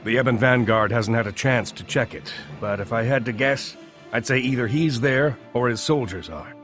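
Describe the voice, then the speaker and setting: Guy doing a grim army commander from a video game, medium pitch, faint music under it. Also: moderate pace, a bit bright, close to an everyday voice. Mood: grim, resolute. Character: embattled, grateful male fortress military commander.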